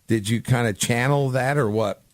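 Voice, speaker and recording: A man's voice: mid-range voice, normal speed, no echo. A man hosting a casual radio interview, chatting in an informal, rambling way. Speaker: radio show host.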